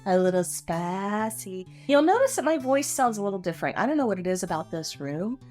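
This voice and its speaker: A woman with a slightly raspy voice, hosting a casual podcast chat and telling stories playfully. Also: mid-range voice, big pitch swings, fairly quick, a little mellow, faint music behind. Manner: playful, chatty storyteller. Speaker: podcast co-host. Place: small carriage house room.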